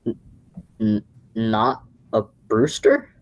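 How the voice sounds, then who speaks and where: Woman as flustered young man, low husky voice, swooping delivery, stammering, radio drama. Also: huge pitch swings, slow, darkish tone, heavily acted, poor audio. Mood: shaken. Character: excitable, flustered young man, devoted nephew. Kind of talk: radio play acting.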